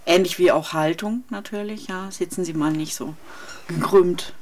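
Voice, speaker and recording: A woman's voice: fairly low pitch, moderate pace, a little mellow, a little hiss or hum. A woman hosting a radio interview, conversational, who poses reflective prompts to her guests. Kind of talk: radio interview, asking questions.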